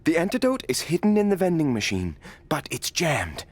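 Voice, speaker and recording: A pretentious film director, effusive and touchy-feely, coaching actors in a video game scene. His pitch swings wildly as he speaks, full of theatrical feeling. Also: big pitch swings, moderate pace. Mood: dramatic line reading. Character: gushing, pretentious male film director running an audition. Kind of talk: video game cutscene acting.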